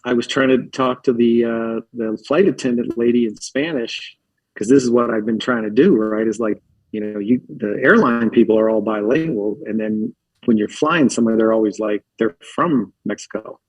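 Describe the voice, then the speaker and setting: A man's voice: mid-range voice, normal speed, dry recording, low-quality recording. A man from Philadelphia, a podcast guest telling stories in a rambling, casual way full of filler words. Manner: rambling, filler-heavy, uses lots of likes. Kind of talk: casual storytelling chat.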